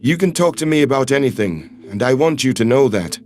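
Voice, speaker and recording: A man voicing a calm, earnest human man who speaks in firm, measured, reassuring tones. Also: medium pitch, slight background noise, voice pushed down, natural voice. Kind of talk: audiobook character voice.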